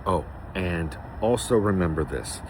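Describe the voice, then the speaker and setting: A man with a husky voice giving a rambling, unscripted spiritual monologue, emphatically repeating phrases for stress as he hosts. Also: sits low, animated, a little slow, a little mellow, slight background noise, poor audio. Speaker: host of a spiritual series.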